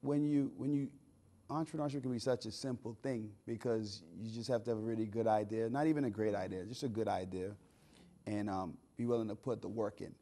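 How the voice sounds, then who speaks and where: A man's voice: fairly low pitch, fairly even intonation, a little fast, dark tone. A man in his thirties from the Bahamas, a guest entrepreneur and author telling his story in a casual, chatty way, often repeating words as he thinks. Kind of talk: casual interview talk.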